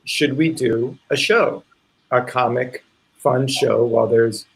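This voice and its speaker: A man with a medium-pitched voice hosts an online reading in an informal way. He speaks haltingly, thinking aloud as he goes. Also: fairly even intonation, gravelly, low-quality recording. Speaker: playwright and actor introducing a live play reading. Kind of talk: informal spoken introduction to an online event.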